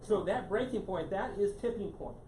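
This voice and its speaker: A man lecturing a small room in a loose, rambling way, restarting sentences and leaning on the word "here." His voice sits fairly high, and it is picked up from a distance in an echoey room with steady background noise. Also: normal speed. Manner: casual, repeats "here" constantly, many restarts. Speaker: guest speaker on climate science. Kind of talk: live public lecture to a small audience. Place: meeting room with a live audience.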